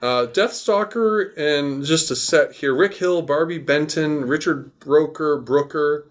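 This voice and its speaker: A man's voice: on the high side, takes it slowly. A man co-hosting a podcast, talking casually about films in an opinionated, emphatic way. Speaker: podcast co-host. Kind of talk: casual film discussion.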